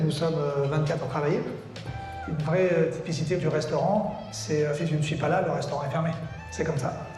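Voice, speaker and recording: Reflective chef over music, echoey. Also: male voice, on the high side. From Congo. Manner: reflective, pausing to find words. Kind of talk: interview in a short documentary film. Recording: strong echo with music over it.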